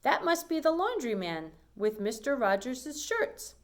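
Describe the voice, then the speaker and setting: A woman's voice: sits high, very animated, unhurried, voice pushed up. Woman reading as a busy, polite lady of the house. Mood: instructing. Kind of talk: children's storybook character reading.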